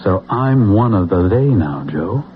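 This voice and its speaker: An actor with an American accent playing an intense, self-assured political zealot in an old-time radio drama, speaking grandly and persuasively with deliberate pauses. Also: male voice, lowish voice, animated, a little hiss or hum. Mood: assured, confiding. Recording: muffled old radio broadcast with music.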